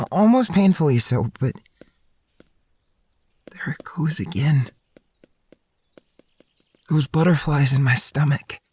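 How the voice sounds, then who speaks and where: A man's voice: medium pitch, gravelly, breathy edge, no room sound, exaggerated voice. An insecure, dreamy young man tells his own story as audio drama narration. He sounds earnest and introspective, in a mid-range voice. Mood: flustered, smitten. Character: self-conscious, dreamy, insecure young male student writer.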